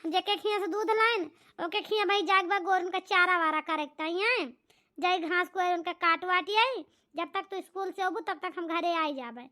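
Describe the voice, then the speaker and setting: A woman's voice: very high voice, moderate pace, bright, forceful tone. A woman with an Awadhi accent voicing a patient, hardworking village mother coaxing her child in a cartoon. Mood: tired, coaxing. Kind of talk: homemade cartoon comedy dialogue.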